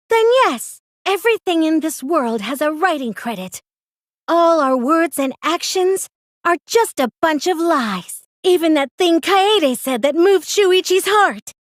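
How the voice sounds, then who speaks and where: Game villain: young cosplayer mastermind, piercingly high, very animated, taunting, dry close recording. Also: female voice, no room sound, heavily acted. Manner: theatrical, gloating, taunting. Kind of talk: anime game voice acting.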